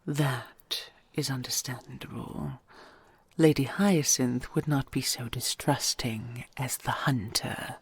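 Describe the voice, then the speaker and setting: A woman playing a possessive, doting dragon queen in an audio roleplay, speaking in a breathy, creaky near-whisper with regal, formal tenderness. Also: extremely low pitch, no room sound, exaggerated voice. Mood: earnest, possessive. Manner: slow, regal, formal endearments with soft sighs.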